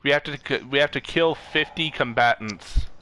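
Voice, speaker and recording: A man's voice: mid-range voice, moderate pace, pushed and bright, a little hiss or hum, rough recording. A man streaming a game, talking in an informal, playful way with casual commentary. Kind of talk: casual gaming chat and commentary.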